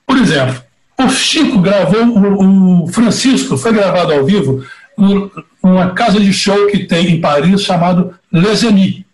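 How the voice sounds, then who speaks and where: This is a Brazilian man of middle age or older, with a notably high-pitched voice. He talks casually in a Brazilian Portuguese accent, like a seasoned producer handing down stories and advice with emphasis. The sound is clearly roomy and echoey. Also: measured pace, darkish tone. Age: middle-aged or older. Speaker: interview guest, veteran concert producer. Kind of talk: casual anecdotal storytelling.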